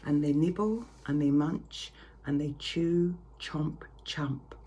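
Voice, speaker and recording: A woman reading a picture story aloud to children with theatrical, drawn-out emphasis. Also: deep voice, warm tone, lo-fi sound. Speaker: primary school reader. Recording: clear background noise.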